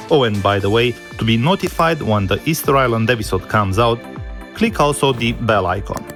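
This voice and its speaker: Low-voiced male travel vlogger with music behind. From Romania. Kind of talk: scripted travel narration mixed with talk to camera.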